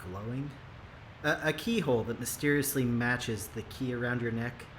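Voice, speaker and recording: A man's voice: medium pitch, normal speed, a bit bright, slight background noise, close to an everyday voice. A man acting a flippant, teasing scientist in an audio drama, with a wry, offhand delivery. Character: sarcastic, flippant, lively male scientist colleague.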